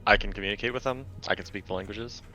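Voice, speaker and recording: A man's voice: fairly low pitch, very fast, sharp tone. A man playing a tabletop roleplaying game, talking casually and stepping briefly into his character. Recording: dull lo-fi microphone, music behind.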